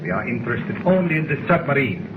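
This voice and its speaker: An actor playing a cold, smug foreign spy leader with a German accent, menacing and mock-courteous, in 1940s radio drama style. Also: male voice, medium pitch, sing-song, quick pace, natural voice. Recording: scratchy tinny 1940s radio recording, heavy noise.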